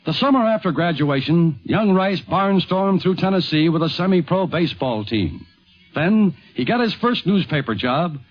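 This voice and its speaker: A male narrator reads a scripted radio documentary in 1950s style. His voice sits fairly high and moves in lively sweeps. The sound is a dull vintage broadcast with some music behind it.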